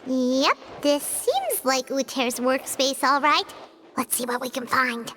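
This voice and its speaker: A woman voicing a tiny, excitable, childlike fairy companion in a video game, with a squeaky, expressive delivery. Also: slow, background noise. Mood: curious. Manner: expressive, refers to herself in third person. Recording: music playing behind the voice.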